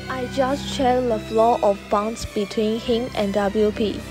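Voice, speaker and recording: A woman's voice: on the high side, fairly even intonation, slow pace, music in the background. A woman playing a private detective leading a case in an amateur student radio drama. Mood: businesslike.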